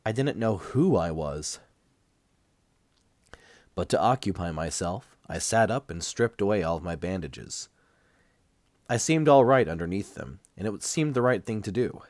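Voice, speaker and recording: Male narrator for first-person fiction, low register, measured and dramatic, slightly under a cold, dry sound. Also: very animated, darkish tone, no room sound. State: recovering from a cold. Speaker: amateur audiobook reader.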